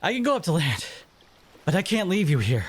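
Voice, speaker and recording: A man's voice: on the high side, big pitch swings, brisk, husky. A man acting a loyal, earnest monster ally in an audio drama. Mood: worried. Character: loyal male monster ally who moves on land and water.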